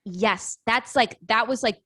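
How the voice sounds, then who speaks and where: A woman's voice: a little high, unhurried, a bit bright, slightly rough, dry recording, studio quality. An American woman as a podcast guest, telling casual anecdotes with joking exaggeration. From Illinois, United States.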